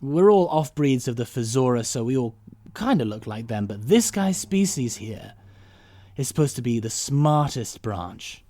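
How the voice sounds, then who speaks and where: An eccentric, humane time traveller, played by a man in an audio drama. His pitch is lively and jumps about. He is wry and easily flustered, repeating himself, in a dry recording. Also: measured pace, dry recording. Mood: curious, amused. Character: the Doctor, witty humane time-travelling alien adventurer.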